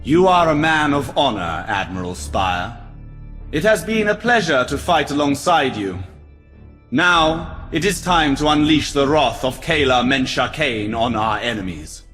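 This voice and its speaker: A man's voice: medium pitch, animated, a little slow, a bit bright. An actor playing a solemn, proud male alien elf fleet commander in a video game cutscene. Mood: solemn, grateful. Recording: long hall reverb, music behind.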